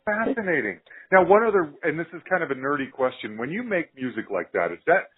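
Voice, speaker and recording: A man's voice: a little high, very animated, brisk, slightly rough. A man interviewing casually, with informal, rambling questions that he rephrases as he goes. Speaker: podcast interviewer. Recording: tinny band-limited audio.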